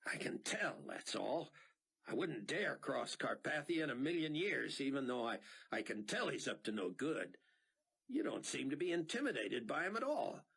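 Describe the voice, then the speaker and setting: A man's voice: medium pitch, very animated, normal speed, creaky, fairly bright tone, breathy edge, voice pushed up, heavily acted. A man voicing a blunt, plainspoken middle-aged pilot with folksy talk. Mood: bewildered.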